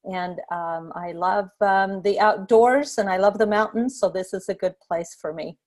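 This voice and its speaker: A woman from Sweden, a design instructor, giving a relaxed online lecture on a video call with many fillers and restarts. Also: medium pitch, unhurried.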